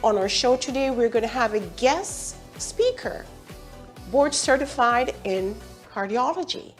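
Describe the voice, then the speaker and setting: Lively high-voiced woman hosting a health show. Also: a little slow, soft background music. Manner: emphatic, questioning the viewer. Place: TV studio.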